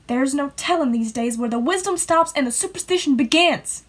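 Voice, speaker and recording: A woman's voice: sits high, lively intonation, fairly quick. A woman playing a bitter, folksy small-town sister who nags and accuses, in an amateur play acted over video call. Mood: scornful. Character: bitter, defiant small-town woman nagging her brother. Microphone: video call microphone.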